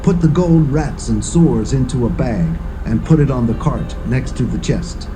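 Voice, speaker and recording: A male actor plays a solemn Philistine elder in an audio Bible drama. His counsel is declamatory and instructive, delivered at a middling pitch. Music plays clearly behind him. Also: animated, moderate pace, natural voice, poor audio. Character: ancient Philistine priest or ruler giving solemn counsel.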